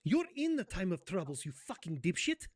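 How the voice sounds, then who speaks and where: A man's voice: sits high, big pitch swings, normal speed, darkish tone, breathy edge. A man in profane, sarcastic comic roleplay banter, ranting in a put-on accent. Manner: putting on an accent.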